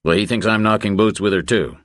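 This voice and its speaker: A man voicing a tough, unflappable thriller hero in an audiobook, laconic and calmly confident. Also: fairly low pitch, a little fast, no room sound, clean studio sound, pitched above the performer's own voice, lightly acted. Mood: probing.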